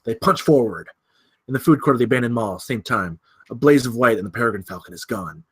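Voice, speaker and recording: A man's voice: medium pitch, animated, fast, slightly rough. A man narrating scripted stage directions at a film table read.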